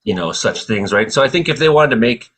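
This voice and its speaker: A man's voice: medium pitch, animated, brisk, fairly bright tone, breathy edge. A man hosting a casual radio discussion, opinionated and folksy, speaking colloquially. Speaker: radio show host.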